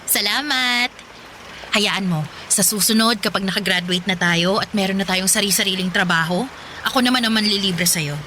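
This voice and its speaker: A woman's voice: medium pitch, normal speed, sharp tone, slight background noise, lightly acted. A woman playing a playful, ambitious college girlfriend in a radio drama, speaking conversationally with a Filipino accent. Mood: playful, affectionate.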